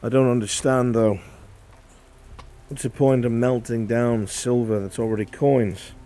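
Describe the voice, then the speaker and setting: A man playing a shrewd, curious conspirator in a dark fantasy roleplay, with formal, old-fashioned phrasing. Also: mid-range voice, steady tone, unhurried, lightly acted. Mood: musing, suspicious.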